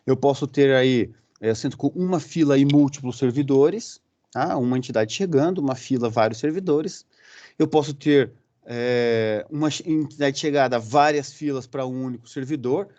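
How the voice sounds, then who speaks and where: A man's voice: mid-range voice, normal speed, dark tone, dull audio. A man with a Brazilian accent giving a recorded university lecture. He explains step by step and often checks in with the class using short fillers. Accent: Brazilian Portuguese.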